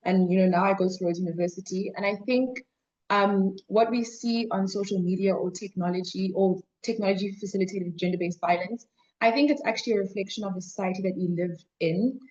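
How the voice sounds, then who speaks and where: A woman's voice: medium pitch, brisk, darkish tone. Young woman on a panel, lots of "you know", bit muffled sound. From Zimbabwe, raised in South Africa. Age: young adult. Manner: hesitant, many fillers like "you know". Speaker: student panelist. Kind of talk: panel remarks.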